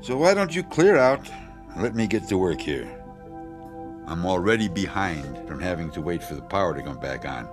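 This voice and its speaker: An actor playing a secretly magical janitor in a radio drama, measured, knowing and quietly threatening. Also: male voice, deep voice, big pitch swings, music in the background. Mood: feigned innocence. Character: secretly magical janitor, controlled, quietly menacing older soul.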